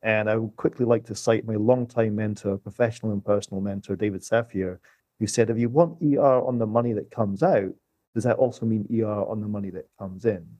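A man's voice: on the low side, fairly even intonation, a little fast, mellow, no echo. A man speaking as an economist guest on a podcast, explaining things step by step with emphasis.

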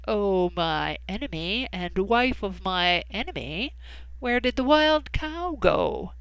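Lady reading as a sly proud tomcat, high and slow, voice swoops all over, dry close sound. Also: sing-song, clean tone, no echo. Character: proud sly male wild cat.

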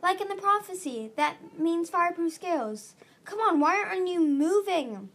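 Woman voicing a frantic young male dragon, high-pitched. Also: expressive, takes it slowly, warm tone, heavily acted. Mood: pleading. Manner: urgent, stammering when flustered. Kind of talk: audiobook-style character reading.

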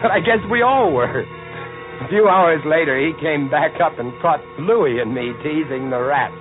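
A man's voice: a little high, animated, normal speed, natural voice. An actor playing a haunted lighthouse keeper, telling his horror story with dramatic intensity in old-time radio style. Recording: tinny old radio recording with music.

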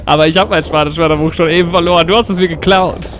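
A man with a somewhat high voice plays along as podcast co-host. His talk is casual, improvised banter, heard over clear vehicle-like noise. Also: fairly even intonation, racing. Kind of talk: casual improvised banter in a mini audio play.

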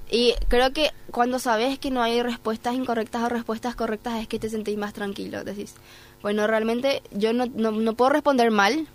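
A woman with a Paraguayan Spanish accent, speaking conversationally as a podcast guest giving advice. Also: on the high side. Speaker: guest, programme coordinator.

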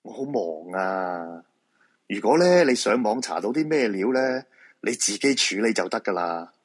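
A reluctant fortune-teller in a radio drama, acted by a man, brusque and exasperated. His voice is low and quite husky, with a Hong Kong Cantonese accent and a dismissive, protesting manner. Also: sing-song, unhurried. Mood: flustered, annoyed. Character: reluctant male feng shui fortune-teller, married father, exasperated.

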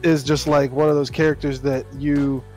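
A man's voice: on the high side, level tone, moderate pace, over music. A young man co-hosting a casual podcast, chatty, often stumbling over his words. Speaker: podcast co-host. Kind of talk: casual anime podcast chat.

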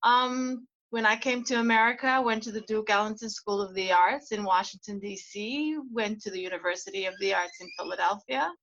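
A woman from South Africa, a guest actor, telling her story in a thoughtful, measured way in casual conversation. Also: medium pitch, a bit bright, lo-fi sound. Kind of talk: casual interview chat.

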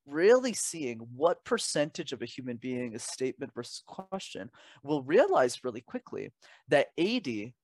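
A man's voice: medium pitch, dry recording. A young man speaks as an interview guest in a mid-range voice. He is articulate and emphatic, mixing advice with personal stories and rhetorical questions. The sound is dry, with very little room in it. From Canada. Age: young adult. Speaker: interview guest, communication coach. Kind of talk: conversational advice and personal anecdotes.

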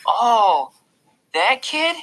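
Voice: high voice, sing-song, a little slow, sharp tone, voice pushed up, full character voice. An adult reader voicing a defensive, whining second-grade little boy in an audiobook. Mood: wide-eyed, excited. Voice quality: whining, childlike. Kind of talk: audiobook character dialogue.

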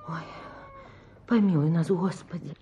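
An actress playing an anxious, lamenting Russian peasant wife in a radio play, with folksy village speech. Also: female voice, lowish voice, unhurried, mellow, close to an everyday voice. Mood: wary. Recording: dull, noisy old radio play recording.

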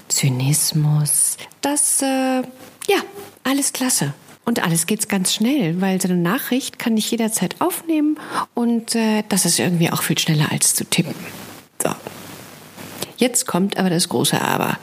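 Husky woman delivering a wry spoken column. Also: mid-range voice. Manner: wry, expressive, with pointed pauses and emphasis. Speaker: columnist presenting a humorous monologue. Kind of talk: humorous scripted spoken column, conversational.

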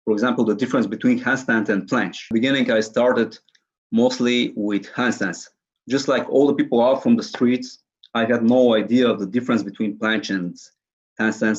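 Young bulgarian guy, lowish voice, casual interview chat, muffled lo-fi call sound. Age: early 20s. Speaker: interview guest, calisthenics athlete.

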